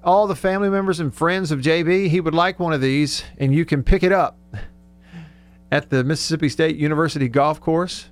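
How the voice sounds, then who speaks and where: A man's voice: on the high side, a little fast, clean tone, soft background music. A man hosting sports radio from a studio in a folksy, rambling, chatty way. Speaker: sports radio show host. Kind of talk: casual chat.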